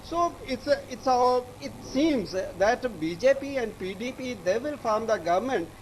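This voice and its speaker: High-pitched Indian man reporting, halting delivery. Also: animated, slight background noise, rough recording. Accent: Indian English. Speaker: news correspondent. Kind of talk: live political report.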